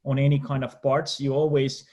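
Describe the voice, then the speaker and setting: A young man from Finland with a Finnish accent, speaking as a debate panelist on a video call, often pausing and restarting. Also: medium pitch, monotone, darkish tone. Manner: hesitant, many restarts and fillers.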